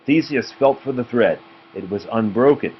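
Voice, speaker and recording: A man's voice: medium pitch, moderate pace. A man reading a children's storybook aloud as a parent to a child, in a narrating style. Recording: muffled home recording with clear noise.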